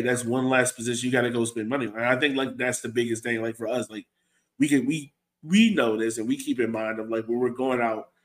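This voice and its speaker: A man with an average-pitched voice talks sports in a casual, conversational way. He sounds like a co-host on a fan show, thinking out loud and tossing out questions for discussion.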